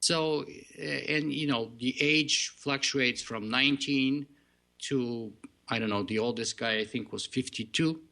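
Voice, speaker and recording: A man with a thick Bulgarian accent and Bulgarian as his first language, a podcast guest telling his story in an earnest, measured way. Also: medium pitch, gravelly, bright, forceful tone.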